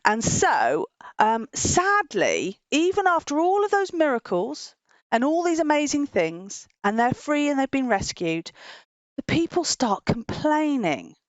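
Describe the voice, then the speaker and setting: A woman's voice: sits high, very animated, a little slow, no echo, highs cut off. A woman presenting a children's Bible story informally, explaining in simple phrases and often repeating herself. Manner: simple explanatory phrasing with frequent repetition and chuckles.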